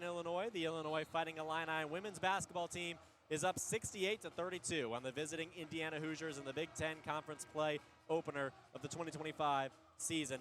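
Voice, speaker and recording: Higher-pitched man calling basketball play-by-play. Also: a little hiss or hum. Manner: energetic, descriptive, raising his voice on big plays. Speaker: play-by-play radio sports announcer. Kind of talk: live basketball play-by-play commentary.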